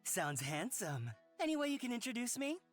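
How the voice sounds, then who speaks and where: A woman voicing a bold, curious and flirty traveller in a fantasy visual novel, expressive and teasing. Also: fairly high pitch, normal speed, faint music behind. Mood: amazed, playful.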